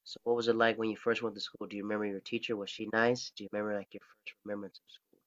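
A man conducting a casual interview, circling back and rephrasing his questions as he goes. His voice sits a little low. The sound is dull and lo-fi. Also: little pitch movement, racing. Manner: rambling, restarts his questions. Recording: dull lo-fi recording.

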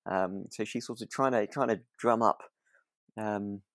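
A British man co-hosting a casual podcast, speaking in a halting, understated way. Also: fairly low pitch, no room sound, clean studio sound. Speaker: podcast co-host.

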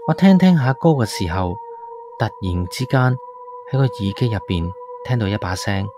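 A man's voice: mid-range voice, big pitch swings, moderate pace, lightly acted. A man playing a frightened tenant, narrating a horror radio drama in an anxious, suspenseful way. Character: frightened male tenant recounting his haunting. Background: background music.

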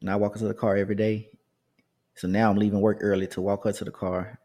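Low-voiced man telling a personal story. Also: flat delivery, fast, warm tone. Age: 30s. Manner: conversational, rambling with restarts and asides.

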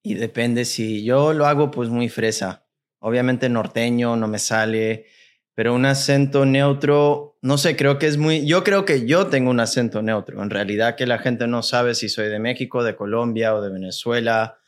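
Castilian-accented man, relaxed podcast guest. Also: medium pitch, moderate pace. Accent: Castilian Spanish. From Miami, USA. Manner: relaxed, chatty, mixes in English words. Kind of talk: casual chat.

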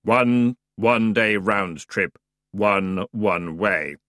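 Man playing a slow, grumpy underworld ferryman. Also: on the low side, fairly bright tone, no room sound, studio quality. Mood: stern. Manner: slow, stern, grudging. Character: grumpy, weary male underworld ferryman, strict and exasperated.

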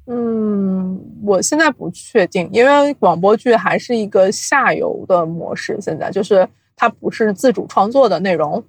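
A woman's voice: mid-range voice, animated, normal speed, hardly any pauses, no echo, poor audio. A woman with a mid-range voice talks casually as a guest on an interview show. She reasons aloud as she explains her trade. The recording is dry and close, with little room sound. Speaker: guest, audio drama producer and company chief. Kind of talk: casual interview talk about the audio drama industry.

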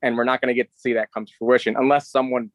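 Dude chatting on a podcast, average pitch, dry recording, super casual. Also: male voice, flat delivery, rapid-fire, no room sound. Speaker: podcast co-host.

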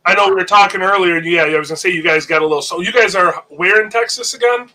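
A man's voice: sits high, fast talker, sharp tone, poor audio. A man hosting a casual live show, sounding nasal while getting over an illness, easygoing and repeating words as he thinks. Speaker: host of a live reptile-hobby show. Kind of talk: casual live-stream chat.

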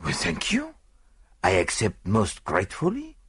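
Old Belgian detective, played by a male narrator: French accent, formal, placid, gently amused, lively pitch, storybook mystery. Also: measured pace, gravelly, husky, dry recording, studio quality, full character voice. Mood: genial, teasing. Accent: French-speaking foreign. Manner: formal, quaint phrasing with small murmured asides.

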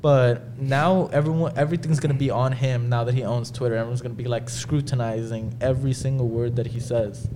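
A man's voice: mid-range voice, fairly even intonation, fairly quick, hardly any pauses. A young man on a podcast, chatting casually with friends, swearing, laughing and arguing his point. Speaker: podcast co-host. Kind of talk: casual chat among friends. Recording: clear background noise.

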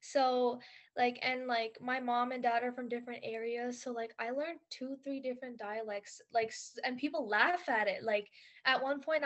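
A young woman whose first language is Urdu, co-hosting a podcast and talking earnestly in long, rambling sentences full of "like". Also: sits high. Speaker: podcast co-host. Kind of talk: casual podcast chat. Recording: dull lo-fi audio.

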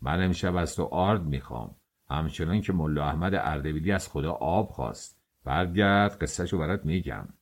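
A man's voice: rumbling low, lively intonation, pitched below the performer's own voice, full character voice. A man doing a bossy, teasing master baker. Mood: bantering. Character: middle-aged male master baker, bossy, joking.

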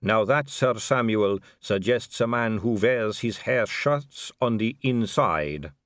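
A man voicing an aristocratic lady vampire in an audiobook, smooth, measured, knowing and condescending. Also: on the low side, expressive, fairly bright tone, no echo, clean studio sound, voice pushed up. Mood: probing, superior. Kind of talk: audiobook character dialogue.

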